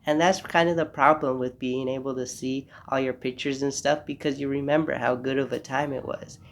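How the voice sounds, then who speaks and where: Young guy with a cold, stuffy nose, kinda gravelly, flat voice, just rambling on his podcast. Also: medium pitch, fairly quick. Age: young adult. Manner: rambling, reflective, apologetic asides. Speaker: podcast host. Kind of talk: casual solo podcast monologue. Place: home room.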